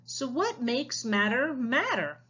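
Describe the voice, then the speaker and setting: A woman's voice: sits high, very animated, takes it slowly, a bit bright, breathy edge, lo-fi sound, pitched above the performer's own voice, heavily acted. A woman voicing a mischievous, easily bored young schoolboy wizard from a storybook, questioning and casual in manner. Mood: puzzled, curious.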